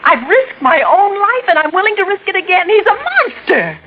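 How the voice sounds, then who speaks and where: A woman's voice: very high-pitched, very animated, normal speed, a crowd in the background. An actress playing a frightened wife who suspects her husband is a murderer, in tense, pleading 1940s radio acting. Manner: tense and pleading, rising to desperate outbursts. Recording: muffled vintage radio recording.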